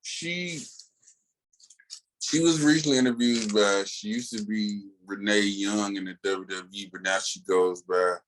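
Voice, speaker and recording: A man's voice: lowish voice, steady tone, a little slow, fairly bright tone, low-quality recording. A man co-hosting a podcast in casual chat, with a laid-back, slangy, colloquial way of talking. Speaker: podcast co-host.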